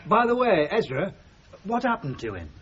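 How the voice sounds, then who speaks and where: A man's voice: high voice, huge pitch swings, moderate pace, fairly bright tone, breathy edge, full character voice. An actor playing a mild, tactful, inquisitive middle-aged married man in a radio comedy drama. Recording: muffled, noisy 1970s radio drama recording.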